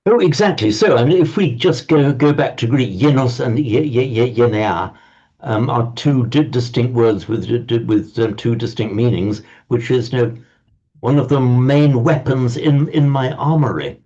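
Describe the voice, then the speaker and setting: Older guy teaching, pedantic and a bit stumbly, mellow voice, dull lo-fi sound. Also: medium pitch, moderate pace, husky. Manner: playfully pedantic, stumbling repetitions. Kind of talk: teaching an online class.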